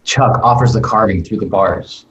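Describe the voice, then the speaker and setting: Low-voiced man narrating in a roomy space. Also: normal speed, fairly bright tone, muffled recording. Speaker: narrator reading stage directions. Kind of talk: scripted narration at a table read. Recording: roomy echo with faint noise.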